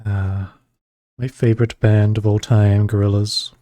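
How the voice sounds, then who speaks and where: A man streaming while he draws, chatting casually with viewers and rambling between pauses. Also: fairly low pitch, no room sound. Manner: relaxed, rambling, talks to chat between pauses. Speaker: streamer drawing live. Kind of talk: casual chat while drawing.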